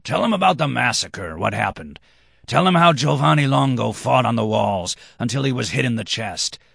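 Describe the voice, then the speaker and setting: A man voicing a drunken, boastful, impish nobleman who is quick to anger and speaks in emphatic declamation. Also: mid-range voice, expressive, vocal fry, a bit bright, breathy edge, no room sound, studio quality, pitched above the performer's own voice, full character voice. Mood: angry, insistent.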